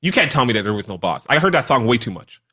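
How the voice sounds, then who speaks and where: A man's voice: mid-range voice, big pitch swings, fast, sharp tone. A man from Canada co-hosting a radio talk show, chatting casually with frequent laughs and rambling restarts. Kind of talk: casual chat.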